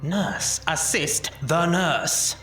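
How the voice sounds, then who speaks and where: A man playing a brisk, authoritative doctor in a period audio drama, formal and commanding. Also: on the high side, expressive, pushed and bright, soft background music, exaggerated voice. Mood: brusque. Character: brisk, authoritative Victorian army doctor at work. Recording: echoey room, distant mic.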